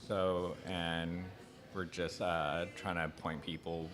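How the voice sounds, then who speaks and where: A man from Mexico, a guest describing support services in a soft-spoken, plain way. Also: sits low, little pitch movement, takes it slowly, faint music behind. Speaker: guest, mental health worker.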